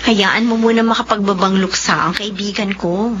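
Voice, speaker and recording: A woman's voice: medium pitch, steady tone, normal speed, a bit bright, lo-fi sound, slight background noise, natural voice. An actress playing a caring young female friend, in a radio drama. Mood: sympathetic.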